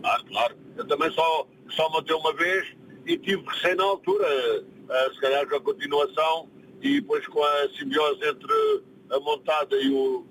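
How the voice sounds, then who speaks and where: A man's voice: a little high, sing-song, normal speed, fairly bright tone, slight background noise, rough recording. A man from Portugal with a European Portuguese accent, a guest chatting casually on a radio show and reading event listings with hesitations. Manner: hesitant, reads from a poster.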